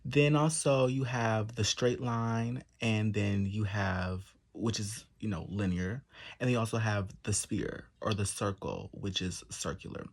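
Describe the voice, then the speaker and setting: Soft-spoken man with a low, breathy voice. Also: fairly even intonation. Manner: measured, methodical listing with pauses and fillers. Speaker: presenter. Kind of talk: explanatory talk to camera.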